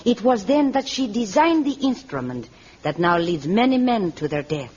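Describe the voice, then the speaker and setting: A woman playing a confiding jailer of a hidden city in a vintage radio adventure, husky and expressive. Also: mid-range voice, moderate pace. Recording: dull vintage radio recording.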